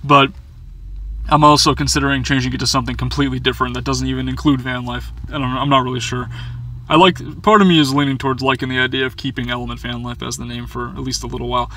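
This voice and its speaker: A man's voice: medium pitch, level tone, fast talker, bright, forceful tone, a little hiss or hum. A young man chatting casually through a viewer Q&A from inside a small camper van, rambling with lots of fillers and stumbling restarts. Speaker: van-life vlogger answering viewer questions. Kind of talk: casual Q&A chat.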